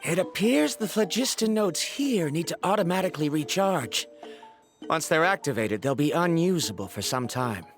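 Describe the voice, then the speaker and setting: A man's voice: fairly high pitch, animated, normal speed, faint music behind. A man playing a stubborn, logical scholar in a video game, speaking formally and precisely. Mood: analytical.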